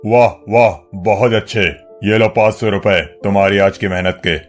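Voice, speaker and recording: An actor playing a haughty, suspicious village landlord with a gruff, imperious manner in a dramatized moral story. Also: male voice, on the low side, fairly even intonation, moderate pace, faint music behind, close to an everyday voice. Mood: pleased.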